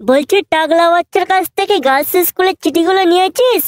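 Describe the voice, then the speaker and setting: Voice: extremely high pitch, quick pace. A performer voicing a hot-headed, swaggering teenage schoolboy in a comic cartoon, coarse and blustering, with music underneath. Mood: gossiping.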